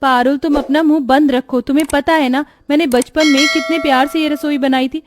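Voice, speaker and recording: A woman's voice: sits high, fairly even intonation, brisk, background noise, close to an everyday voice. A woman voicing an earnest, sweet poor village girl in a cartoon story. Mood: indignant. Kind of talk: Hindi cartoon dialogue.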